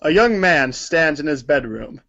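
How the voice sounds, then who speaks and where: A man's voice: a little high, animated, unhurried, sharp tone. A man reading scripted narration for a read-along story performance, in a dull recording.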